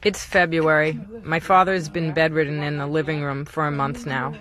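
Quiet, measured woman narrator. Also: deep voice, level tone. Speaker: journalist narrating a documentary about her father. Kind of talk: scripted documentary narration.

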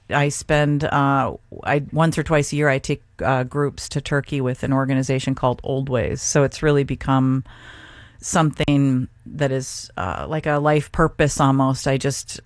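A middle-aged woman chef from Seattle, talking thoughtfully in an interview with frequent pauses and restarts. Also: a bass voice, dry recording, studio quality. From Seattle, Washington, USA. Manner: thoughtful, hesitant with many restarts. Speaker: chef and guest. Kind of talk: radio interview conversation.

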